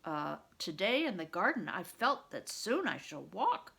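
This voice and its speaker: An earnest young storybook boy, played by a woman reader, eager to learn. The phrasing is formal and old-fashioned, and the voice has a creaky grain. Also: fairly low pitch, very animated, measured pace, fairly bright tone, full character voice.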